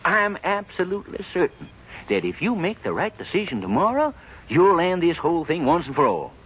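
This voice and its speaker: A man's voice: on the high side, fairly quick, lo-fi sound. An American man playing a flustered, wheedling small-town undertaker in a 1950s Western radio drama, stammering and rustic as he sweet-talks. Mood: earnest, coaxing. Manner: stammering, rustic, excitable, persuasive. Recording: 1950s radio recording with faint noise.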